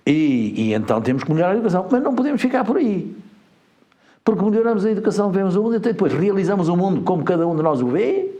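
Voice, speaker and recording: A man's voice: high voice, big pitch swings, very fast, mellow. A man from Portugal with a European Portuguese accent, a guest in a studio interview, explaining at length with frequent hesitant fillers. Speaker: guest, education group administrator.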